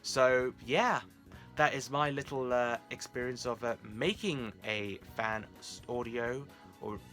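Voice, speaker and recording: A man's voice: medium pitch, steady tone, slow, a bit bright, soft background music. A man talking casually to his viewers as the host of a vlog.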